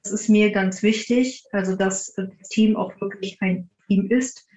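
A woman's voice: medium pitch, steady tone, measured pace, a little mellow. A woman answering interview questions conversationally as a podcast guest, in a roomy and slightly muffled recording. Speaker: podcast guest, team founder. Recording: roomy, slightly dull sound.

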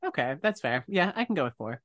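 A man's voice: a little high, very animated, fast talker, a bit bright, dry recording, studio quality. A man co-hosting a podcast in casual banter, with a playfully emphatic way of talking. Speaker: podcast co-host.

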